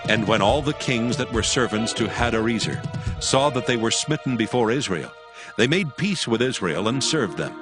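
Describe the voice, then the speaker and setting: A man narrating scripture from a script in a formal, measured, solemn manner. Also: mid-range voice, lively intonation. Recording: music behind the voice.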